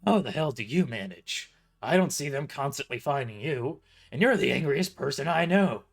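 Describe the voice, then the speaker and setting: A man doing a loud, croaking old boss. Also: mid-range voice, a little slow. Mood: cowering. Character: gross old male cafe boss, bullying then cowardly.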